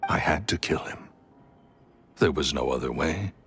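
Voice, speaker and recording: A man's voice: extremely low pitch, unhurried, fairly bright tone. An actor playing a resentful male magician's apprentice turned thief, confessing in a brooding, bitter way in a video game. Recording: faint music behind.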